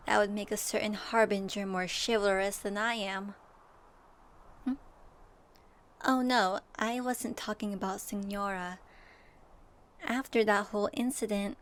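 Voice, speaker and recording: A woman acts as a young female adventurer from a fantasy game in a soft ASMR-style roleplay. She speaks slowly and reflectively in a mid-pitched voice, gentle and wistful, as if confiding in a companion. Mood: concerned, musing. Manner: soft, reflective, addresses listener directly.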